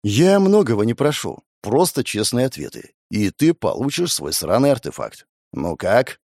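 A man's voice: medium pitch, sing-song, normal speed, darkish tone, no room sound, clean studio sound. A man playing a cool, commanding, contemptuous young demon summoner in a fantasy audiobook. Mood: coolly threatening.

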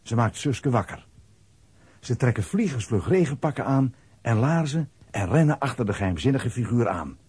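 A man with a husky voice narrating a scripted children's audio play. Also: medium pitch, sing-song, moderate pace, darkish tone.

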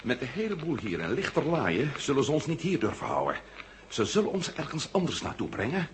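A man's voice: mid-range voice, wildly swooping pitch, a little fast, noisy background. A man acting a resourceful, decisive astronaut hero in a 1970 Dutch radio drama. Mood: coolly confident. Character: resourceful male astronaut hero, decisive captive planning escape.

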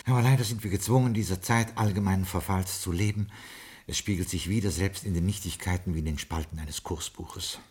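A man's voice: low voice, a little fast, darkish tone, slightly rough. An actor playing a prim, loyal and pedantic butler in a 1960s radio play, formal and drily deferential as he recounts his memoirs. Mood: deferential, dry. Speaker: butler and narrator.

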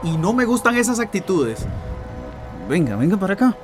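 Creepy costa rican uncle in a radio drama, highish voice swooping between syrupy and bossy, music under it. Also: male voice, sing-song, moderate pace. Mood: menacing. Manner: domineering, swinging from syrupy coaxing to anger. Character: menacing, wheedling, possessive uncle abusing his young niece. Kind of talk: Spanish-language radio drama acting.

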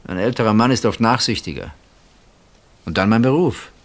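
An actor playing a bitter, sardonic older husband who has been betrayed, speaking with brooding pauses in a German radio play. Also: male voice, on the low side, animated. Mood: bitter reminiscence.

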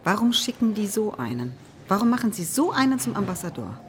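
An actress playing a frank, sceptical police colleague in a crime radio drama, probing with wry concern. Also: female voice, medium pitch, sing-song, brisk, lightly acted. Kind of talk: naturalistic German crime radio drama acting. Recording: faint background noise.

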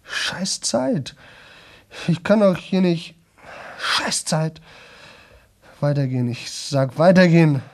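Slow, exhausted young man. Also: on the high side, animated. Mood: desperate, exasperated. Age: young adult. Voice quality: rough, strained throat. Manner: halting, repetitive, broken fragments. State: exhausted, sore-throated. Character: exhausted, desperate young man attempting nonstop-talking world record. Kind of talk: 1970s German radio play monologue.

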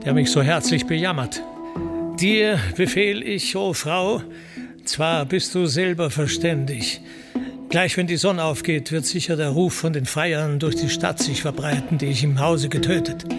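A man's voice: on the high side, measured pace, over loud music. A man voicing a proud, stern hero king of antiquity in a solemn, declamatory epic recitation. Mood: firm, commanding. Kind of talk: dramatic epic verse recitation.

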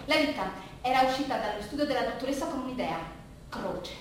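A woman performing a theatrical monologue, telling a story dramatically with halting repetitions. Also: sits high, animated. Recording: very echoey room with faint noise.

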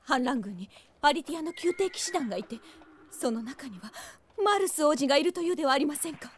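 An actress playing a gentle, anxious young princess who speaks politely and earnestly in a fantasy audio drama. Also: female voice, sits high, animated, brisk. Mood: anxious, hopeful. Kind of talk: Japanese fantasy drama CD acting.

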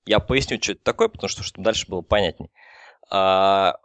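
Low-voiced man explaining, halting delivery. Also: fairly bright tone, dry recording. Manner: halting, with frequent false starts. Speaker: telecom engineer explaining as guest expert. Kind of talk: informal technical explanation.

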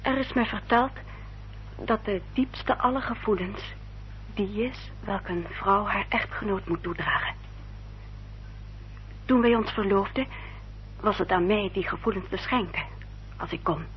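A woman acting an earnest, dutiful young upper-class lady in a radio drama, speaking formally and with careful, measured diction. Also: medium pitch, lightly acted. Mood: solemn, composed. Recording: dull, noisy old radio recording with hum.

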